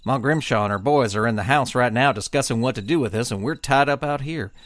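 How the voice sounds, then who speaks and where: A man playing a calm, heroic cowboy deputy fish with a Western drawl in a cartoon audio drama. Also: mid-range voice, quick pace, no room sound, close to an everyday voice. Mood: downcast. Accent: American Western drawl, put on.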